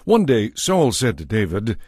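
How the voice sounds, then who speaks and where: A man narrating a dramatized Bible story from a script in a measured, expressive storytelling manner. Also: on the low side, breathy edge, no echo, clean studio sound.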